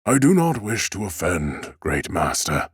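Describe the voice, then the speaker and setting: A man's voice: low voice, big pitch swings, unhurried, husky, no echo, studio quality, heavily acted. A man voicing a timid, humble bookseller in an audiobook, speaking with hesitant, very polite deference. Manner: hesitant, bowing politeness, stammering. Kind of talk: audiobook character acting.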